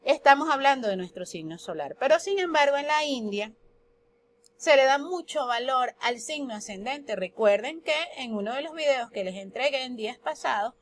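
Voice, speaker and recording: A woman from Colombia with a Colombian Spanish accent, giving a spoken lesson on astrology that poses questions and then answers them. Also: sits high. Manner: explanatory, asks and answers her own questions. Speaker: astrology teacher.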